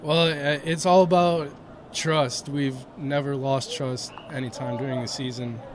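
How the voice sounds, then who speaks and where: A young man, a college baseball pitcher, answering post-game questions modestly outdoors at a ballpark, with lots of "you know" fillers. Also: level tone, measured pace, a crowd in the background. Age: young adult. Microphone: handheld mic held by interviewer. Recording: outdoor noise around the mic.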